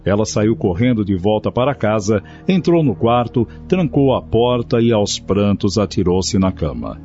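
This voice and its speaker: A man's voice: on the low side, very animated, normal speed, warm tone. A man reading scripted narration for a radio drama. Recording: dull lo-fi, faint music behind.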